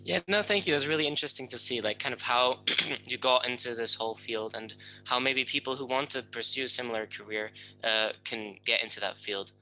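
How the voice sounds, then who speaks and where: Bright, sharp-voiced male host. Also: medium pitch, a little fast, few pauses, a little hiss or hum, poor audio. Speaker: stream host. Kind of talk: moderating a Q&A.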